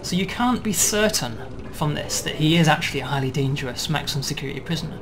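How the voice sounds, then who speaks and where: A man demonstrating and explaining a game build in a relaxed way, checking in with "right?" as he goes. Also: a little high, fast, noisy background. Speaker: game developer demoing.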